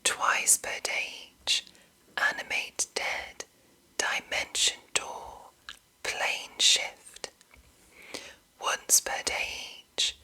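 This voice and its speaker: Woman doing whispered asmr reading, really slow, breathy, lots of little pauses between phrases. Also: pushed and bright. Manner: slow, deliberate, pausing between short phrases. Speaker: ASMR host reading game monster entries.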